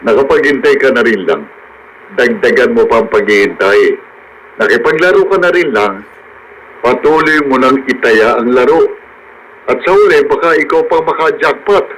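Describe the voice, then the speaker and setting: A man's voice: medium pitch, expressive, moderate pace, a bit bright, close to an everyday voice. An actor playing a curt, scheming man in a radio drama, with a Filipino accent and a dismissive, taunting delivery. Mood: scornful, impatient. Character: curt, scheming man stalling his accomplice. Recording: noisy radio drama broadcast.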